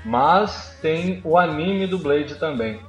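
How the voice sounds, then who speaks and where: A man's voice: a little high, lively intonation, slow pace, dull audio. Brazilian man chatting in an echoey room. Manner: opinionated, slangy. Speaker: podcast co-host. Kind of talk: casual chat. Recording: roomy echo. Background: faint music.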